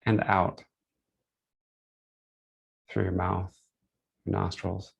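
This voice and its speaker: A man with a fairly low voice and a clearly gravelly, creaky edge leads a guided meditation. He gives soothing instructions in gentle, short phrases. Pauses separate them, so nothing sounds hurried. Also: little pitch movement.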